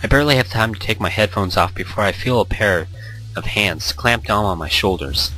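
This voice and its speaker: A man's voice: fairly low pitch, brisk, bright, forceful tone, rough recording. A man reading a visual novel aloud with expression, stumbling over words now and then and rereading them, mixed with casual asides. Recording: background music under the voice. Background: music.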